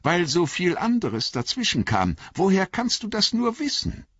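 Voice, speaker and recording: A man's voice: high voice, big pitch swings, a little slow, fairly bright tone, husky, no room sound, lo-fi sound, full character voice. A man reading an audiobook voices a young hobbit who asks eager, earnest questions.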